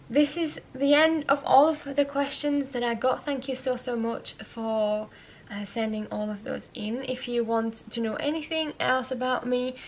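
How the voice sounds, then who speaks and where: A woman from Austria speaks English with a Yorkshire-tinged accent picked up from a partner. Her voice sits a touch high and she talks slowly. She pauses often and fills the gaps with "uh" and little laughs. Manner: slow, thoughtful, with fillers and soft laughs. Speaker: knitting vlogger. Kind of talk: casual Q&A vlog.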